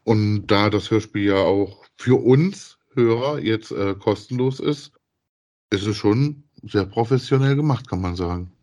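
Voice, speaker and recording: A man's voice: lowish voice, a little slow, a little mellow. A man co-hosting a podcast, chatting casually in a rambling, repetitive way as he reviews. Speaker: podcast co-host.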